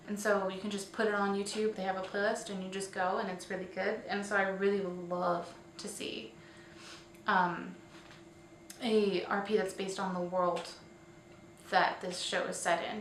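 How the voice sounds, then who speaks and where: Woman vlogger, roomy distant recording. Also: mid-range voice. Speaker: host of a weekly online show. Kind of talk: casual scripted-outline vlog commentary. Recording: roomy, distant mic, faint noise.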